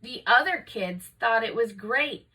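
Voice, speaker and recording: A woman reading a children's picture book aloud in an expressive, dramatic storytelling manner. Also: fairly high pitch, measured pace, slightly rough, far from the mic, low-quality recording. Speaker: storybook reader.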